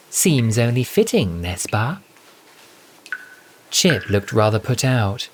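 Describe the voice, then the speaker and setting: A man delivers dramatic story narration with an arch, expressive manner. His middling-pitched voice sits over faint background noise. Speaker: narrator and voice performer.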